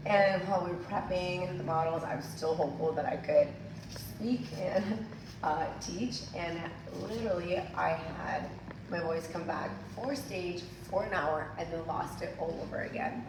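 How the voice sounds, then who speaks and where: A woman's voice: on the low side, a little slow. A woman hair colorist teaching a coloring technique in a chatty tutorial, her voice still recovering from being lost. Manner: chatty, addresses viewers as "you guys". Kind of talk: hands-on tutorial demonstration. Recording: echoey room, distant mic, noisy.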